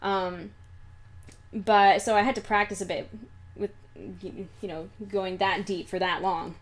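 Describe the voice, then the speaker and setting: A young woman chats as a guest in a casual interview. She giggles often and rambles, with many fillers and restarts. Her voice sits at a medium pitch. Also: fairly even intonation, unhurried. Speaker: guest voice actress and singer.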